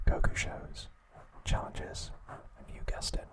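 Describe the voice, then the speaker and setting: A man hosting ASMR, narrating in a hushed, soothing, breathy whisper at an unhurried pace. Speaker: ASMR host.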